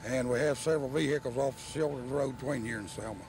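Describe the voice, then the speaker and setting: A man's voice: medium pitch, fast. Male trooper, mid-range, formal factual interview replies, faint roadside noise. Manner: formal, matter-of-fact. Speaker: state trooper. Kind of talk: news interview answers. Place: outdoors beside a highway. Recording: noisy outdoor field recording.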